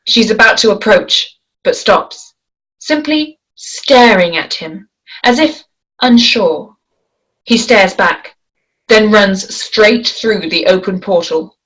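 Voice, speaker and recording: A woman narrating stage directions at a table read, in a measured, descriptive way. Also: medium pitch, lively intonation, fairly bright tone, breathy edge, low-quality recording.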